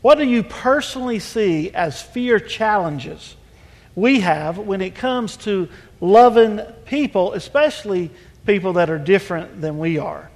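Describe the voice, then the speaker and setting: A male pastor with a high voice runs a panel from the front of a church. He talks in a relaxed, folksy way. The sound rings in a long hall reverb. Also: a little slow. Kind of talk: sermon panel hosting. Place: church sanctuary.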